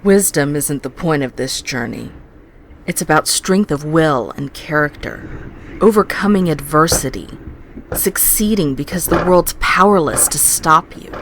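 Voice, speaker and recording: A woman's voice: sits low, measured pace, rough recording. A woman playing a headstrong, teasing alien starship officer in a science fiction audio drama, emphatic and goading, with noise behind her. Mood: goading, confident.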